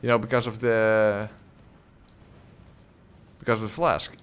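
A man's voice: on the low side, little pitch movement, unhurried, clean tone. A man streaming a game and chatting with viewers, talking close to a desk microphone, rambling with many filler sounds and little chuckles. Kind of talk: casual live gameplay commentary, reading chat. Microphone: desk microphone close to the face.

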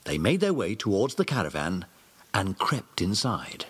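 A man narrating a children's audio drama in a measured, expressive storybook style. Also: deep voice, breathy edge.

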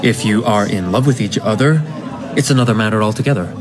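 An actor playing a stiff, earnest young gentleman in a radio drama, speaking in a formal, measured way. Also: lowish voice, crowd noise, natural voice. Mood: lecturing.

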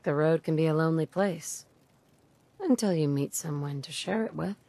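A woman's voice: sits low, moderate pace, warm tone, dry recording. A woman playing a blunt, curious wasteland adventurer with direct, plain-spoken delivery in a video game. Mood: persuasive. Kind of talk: video game player-character dialogue.

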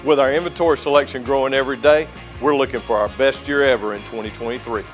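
A man's voice: mid-range voice, steady tone, quick pace. A man presenting a scripted car dealership commercial. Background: music bed.

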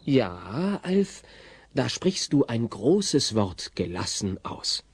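Wry narrator for a comedy radio play, takes his time, really animated, bit of music. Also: mid-range voice, slow pace, slightly rough, no room sound, full character voice. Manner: ironic, theatrical, savouring the words. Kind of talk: scripted comic narration.

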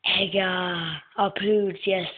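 A soft-spoken young woman hosting a casual gaming video, answering a guessing game in short words. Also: mid-range voice, fairly even intonation, takes it slowly, slightly rough. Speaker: gaming channel host. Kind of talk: casual gameplay commentary. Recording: tinny lo-fi phone-like recording.

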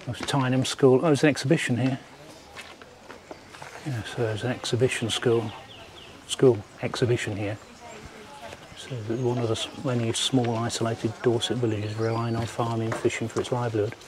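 A man's voice: medium pitch, little pitch movement, normal speed. An older man giving casual walking commentary and reading aloud on a handheld camera. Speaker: vlogger visiting a historic site. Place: outdoors in village ruins and inside an old schoolroom. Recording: handheld outdoor recording with clear background noise.

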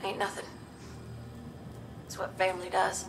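A woman playing a kind, plainspoken friend in a video game drama, gentle, folksy and a little hesitant. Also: mid-range voice, monotone, measured pace, creaky, music in the background. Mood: gentle, consoling. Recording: noisy ambient background.

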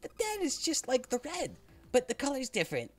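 A man's voice: very high voice, wildly swooping pitch, a little fast, soft background music, pitched above the performer's own voice, exaggerated voice. A man voicing a bubbly, bratty anime girl character in a video game, with a sing-song manner.

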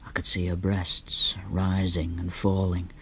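An actor playing an awkward young man, narrating flatly in the first person and grunting replies. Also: sits low, measured pace, slight background noise.

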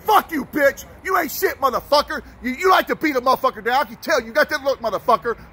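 A man filming a confrontation on a phone, shouting profane taunts at someone. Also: very high-pitched, fast talker, a little hiss or hum. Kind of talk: heated confrontation.

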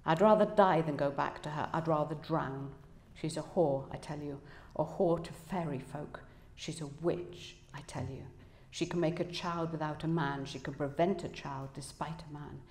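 Woman reading as a bitter, low-voiced runaway husband, echoey. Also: steady tone, moderate pace, a little mellow, a little hiss or hum. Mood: bitter, vehement. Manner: low-voiced, cold, accusing. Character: bitter, fearful runaway husband, coarse seafaring man. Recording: echoey room, public reading.

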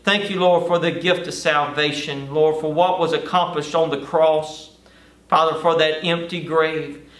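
A roomy, echoing recording picks up a middle-aged male pastor a little way from the mic. His voice sits slightly high for a man, and he teaches and prays earnestly, leaving deliberate pauses between phrases. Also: fairly even intonation, a bit bright, recorded from a distance. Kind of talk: Bible study sermon and prayer. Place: indoor studio or stage with lit backdrop.

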